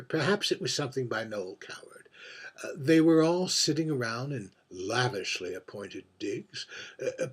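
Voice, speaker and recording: An aging drag queen , acted out by a man, holding forth from a barstool in a stage monologue. The voice is husky and slow, mid-pitched for a man. The manner is camp and world-weary, wry and musing, with drawn-out theatrical phrasing. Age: older. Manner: camp, musing, tag questions and endearments.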